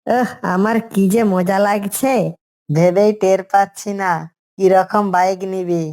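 A woman's voice: mid-range voice, steady tone, measured pace, darkish tone, lightly acted. A woman voicing a cocky, scheming fox in a cartoon story. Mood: eager. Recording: music under the voice. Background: background music.

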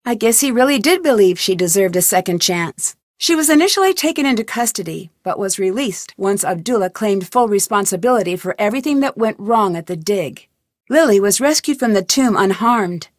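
A woman's voice: medium pitch, lively intonation, fairly quick, dry recording, studio quality, natural voice. An actress voicing a wry young woman detective in scripted game narration. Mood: wrapping up.

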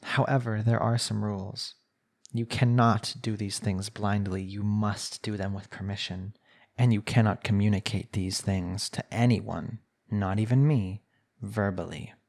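A man performing an ASMR roleplay as a smug, coaxing young villain hypnotist, speaking in a soothing, deliberate way. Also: fairly low pitch, steady tone, vocal fry, a little mellow. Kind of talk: ASMR roleplay acting, slow hypnotic monologue.

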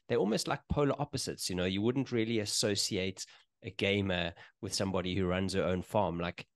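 A man's voice: low-pitched, dry recording, studio quality. A man in his forties hosting a casual video interview from a small home room, hesitant and full of fillers and restarts. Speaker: podcast host. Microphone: studio mic on desk, headphones.

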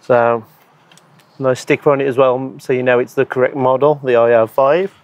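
Hoarse guy, 30s, explaining how to fit a charger outside, lapel mic, laid back with lots of ums. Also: mid-range voice, moderate pace. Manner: relaxed, explanatory, with frequent ums and so's. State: hoarse, losing his voice. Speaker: EV charger installer and channel host. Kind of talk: hands-on installation demo. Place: outdoor garden beside a wooden shed.

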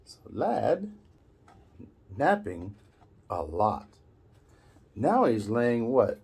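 A man's voice: medium pitch, wildly swooping pitch, extremely slow, a little mellow, breathy edge. A middle-aged man guiding young children through reading, explaining in short simple phrases and prompting with questions. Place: small indoor room at a table.

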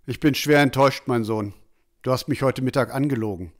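A man playing a stern, disappointed village father, measured and reproachful, in a fantasy audio drama. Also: mid-range voice, natural voice. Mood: stern disappointment.

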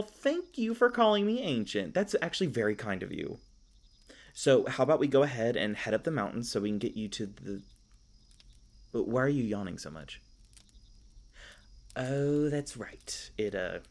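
A man's voice: medium pitch, very animated, moderate pace, darkish tone. A man playing a kindly, flustered, youthful elf park ranger in a fantasy audio roleplay, stammering and rambling apologetically. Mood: gentle, caring. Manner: rambling, stammering, apologetic, self-deprecating humor. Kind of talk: fantasy audio roleplay, casual conversational acting.

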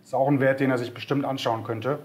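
Guy hyped about the market, bold takes, asks for agreement a lot, mid pitch. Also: fairly even intonation, fast talker, darkish tone. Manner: enthusiastic, bold opinions, frequent "ne?" tags. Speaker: co-host of a broker's market show. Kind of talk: casual market chat.

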